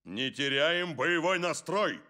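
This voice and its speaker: Slow, forceful cartoon coach. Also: male voice, a little high, lively intonation, full character voice. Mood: resolute. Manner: measured, authoritative. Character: firm, composed adult male football team coach. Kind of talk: animated cartoon dub.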